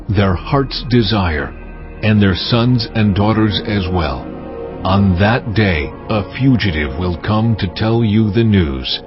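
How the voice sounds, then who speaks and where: A deep, slow, commanding God. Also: male voice. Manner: solemn, commanding, deliberate. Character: God, stern sovereign lord pronouncing judgment. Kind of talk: dramatized audio Bible acting. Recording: muffled, music underneath. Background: background music.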